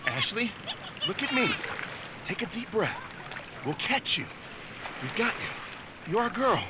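A man's voice: high voice, big pitch swings, takes it slowly, lo-fi sound, exaggerated voice. An actor playing a protective, desperate young man in an audio drama, pleading urgently and reassuring. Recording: very noisy outdoor water ambience.

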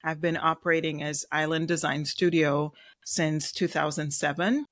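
A middle-aged woman with a light Jamaican accent chats as an interview guest. She speaks in a friendly, measured way and pauses often to find her words. The sound is dry and close, with no room echo. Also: low-pitched, steady tone, fairly bright tone. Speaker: guest, web designer and digital marketer. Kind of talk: casual interview chat.

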